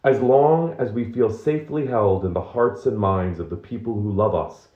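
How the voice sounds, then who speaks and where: A man's voice: lowish voice, moderate pace, muffled recording. A man reading nonfiction aloud as narration, in a roomy, echoing recording. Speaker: reader of a book excerpt.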